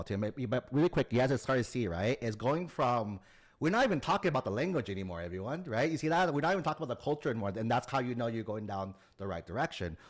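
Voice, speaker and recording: A man with a fairly low voice coaches an interviewee, digging one layer deeper with each question. He talks casually and loosely, often checking in with "right?" as he goes. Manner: probing, repeats "right?" and "as well too". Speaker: admissions coach.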